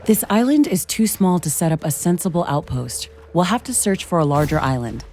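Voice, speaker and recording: A woman's voice: lowish voice, expressive, fairly quick, darkish tone, soft background music. A woman playing a determined scientist-commando in a video game, speaking in a composed, matter-of-fact, analytical way. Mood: determined, wry. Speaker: game protagonist. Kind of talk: scripted video game dialogue.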